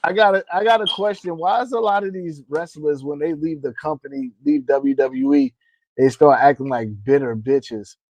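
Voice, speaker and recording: A man's voice: fairly high pitch, normal speed, darkish tone, no room sound, poor audio. A man co-hosting a casual wrestling podcast, talking bluntly with heavy slang and constant cursing. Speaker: podcast co-host. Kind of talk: casual profane chat about pro wrestling.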